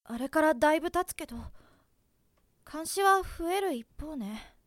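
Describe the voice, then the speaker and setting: A woman's voice: high voice, expressive, moderate pace, slight background noise. A woman voicing a young woman under surveillance who grumbles sarcastically. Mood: annoyed.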